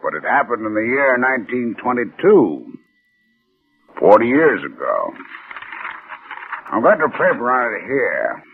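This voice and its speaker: An actor in a 1960s radio suspense play, playing a skeptical, methodical police lieutenant questioning a suspect. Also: male voice, mid-range voice, very animated, a little slow, pauses often, slightly rough, heavily acted. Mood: skeptical, matter-of-fact. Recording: muffled old radio drama, faint music.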